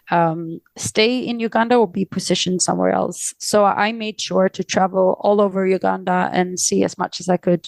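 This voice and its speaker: A woman's voice: lowish voice, creaky, a little mellow, dry recording. A woman with a Swedish accent, answering reflectively as a podcast guest, with hesitant, searching phrasing. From Sweden. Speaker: podcast guest, aid organisation director. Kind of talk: reflective interview conversation.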